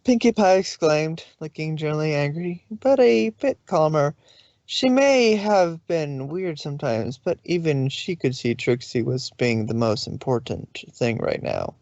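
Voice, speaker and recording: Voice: mid-range voice, lively intonation, unhurried, a little mellow, dry recording. A narrator reading fan fiction aloud informally, occasionally stumbling over words, on a slightly lo-fi recording. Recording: slightly dull, lo-fi sound.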